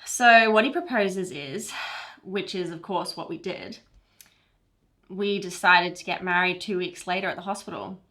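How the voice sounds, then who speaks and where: A woman's voice: on the low side, unhurried, a bit bright. A woman playing a chatty newlywed who confides a romantic tale in a conversational comedy monologue. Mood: dreamy, confiding.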